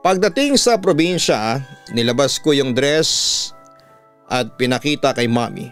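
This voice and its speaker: A man's voice: mid-range voice, expressive, unhurried, a bit bright, clear, resonant tone. Filipino man narrating over background music. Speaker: radio announcer and host reading listener letters. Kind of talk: dramatic narration of a listener's horror story letter. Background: instrumental music.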